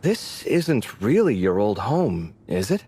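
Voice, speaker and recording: A man's voice: on the low side, sing-song, slow, breathy edge, full character voice. A man voicing an earnest, modest young man in a game cutscene, speaking politely. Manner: polite, gently deflecting praise. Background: game music.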